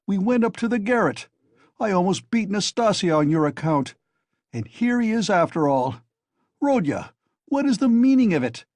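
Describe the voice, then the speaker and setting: A man shouting as an outraged young friend. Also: high-pitched, very animated, normal speed, no room sound, pitched above the performer's own voice. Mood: furious. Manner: shouting, scolding. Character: loud, furious, caring young male friend.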